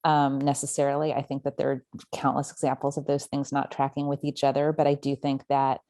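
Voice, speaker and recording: Woman novelist on a podcast, really low voice, mellow, dry sound, thinks it through carefully. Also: completely flat delivery, dry recording. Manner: thoughtful, measured, qualifying her points. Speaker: guest, novelist. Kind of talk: casual podcast conversation.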